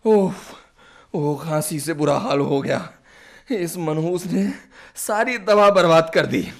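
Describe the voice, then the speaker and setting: High-voiced sick, cranky man. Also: slow, creaky. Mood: suffering, self-pitying. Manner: exasperated, complaining, groaning, melodramatic. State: ill with fever and cough. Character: irritable bedridden sick man scolding his servant. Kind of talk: Hindi radio play acting.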